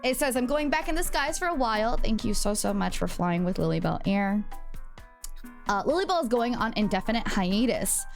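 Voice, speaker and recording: A woman's voice: a little high, very animated, moderate pace, gravelly, soft background music. A woman streaming live, chatting casually and playfully, swearing freely as she talks to her viewers. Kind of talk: casual livestream chat.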